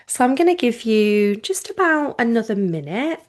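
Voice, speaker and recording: A woman teaching yoga nidra, giving informal guided relaxation instructions in a gentle, reassuring manner with unhurried phrasing and pauses. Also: fairly high pitch, big pitch swings.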